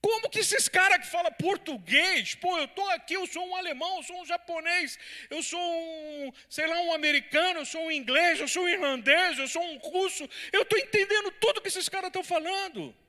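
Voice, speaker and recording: A man, a pastor, teaching a Bible study in church with animated, lively delivery and banter with his listeners. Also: very high voice, normal speed, hardly any pauses.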